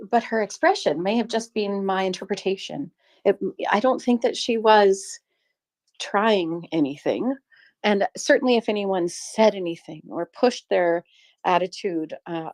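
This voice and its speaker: A woman's voice: mid-range voice, a little mellow. A middle-aged Canadian woman who runs a small shop, telling a personal story in an earnest, reflective way with frequent laughs. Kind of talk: casual chat on a live audio space.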